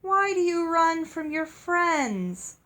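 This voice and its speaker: A woman's voice: very high-pitched, sing-song, sharp tone, voice pushed up, full character voice. A woman voicing a sweet, honey-voiced ogre who lures prey with coaxing promises in a storybook. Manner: slow, coaxing, enticing. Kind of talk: storybook character voice.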